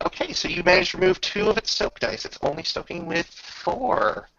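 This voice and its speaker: Animated man game master, slightly muffled. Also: high voice, moderate pace, creaky, dry recording. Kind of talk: running a tabletop roleplaying game, casual.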